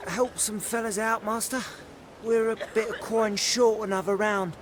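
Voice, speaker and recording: A man's voice: high-pitched, flat delivery, moderate pace, background noise, lightly acted. An actor playing a cocky male thug who needles a stranger for coin. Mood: wheedling. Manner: wheedling, then menacing.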